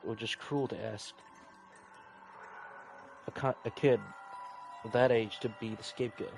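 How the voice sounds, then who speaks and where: A man's voice: mid-range voice, fairly even intonation, unhurried, gravelly, slight background noise, rough recording. A man reading a visual novel aloud in an informal, chatty playthrough style, rambling a little. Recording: music playing behind the voice. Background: background music.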